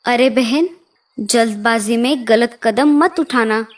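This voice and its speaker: A woman's voice: a little high, takes it slowly. A woman voicing a worried but brave mother sparrow in an earnest, emotive way for a children's cartoon story. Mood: cautioning.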